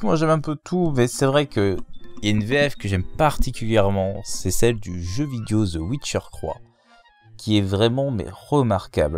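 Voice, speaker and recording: Jokey man, casual answers, mid-pitched voice, bouncy delivery. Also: darkish tone. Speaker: fandub group member. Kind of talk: casual answers to viewer questions.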